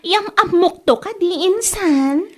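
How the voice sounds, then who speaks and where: A woman's voice: very high-pitched, takes it slowly. A woman acting a stubborn, lovestruck young woman in a comedy drama. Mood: playful, insistent.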